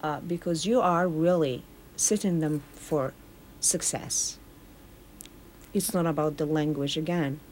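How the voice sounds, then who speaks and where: Earnest woman, slightly low voice. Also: fairly even intonation, measured pace, a little mellow, slight background noise, rough recording. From Morocco. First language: Arabic. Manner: earnest, explaining with repeated phrases. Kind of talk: casual radio chat.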